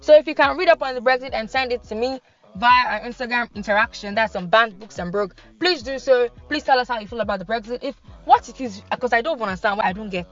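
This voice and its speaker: A young woman with a Nigerian accent hosting a podcast in casual, animated chat. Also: a little high, quick pace, lo-fi sound. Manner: chatty, animated, laughs and switches into pidgin. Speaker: podcast host.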